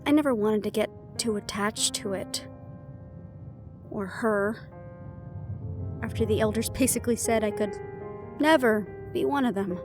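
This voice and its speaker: A woman's voice: mid-range voice, measured pace, pauses often, close to an everyday voice. A woman playing a worried, wry half-banshee in an intimate fantasy audio roleplay, confiding and musing as she talks. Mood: wistful, resolute. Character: young female half-banshee siren, worried, wry, secretly vulnerable. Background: background music.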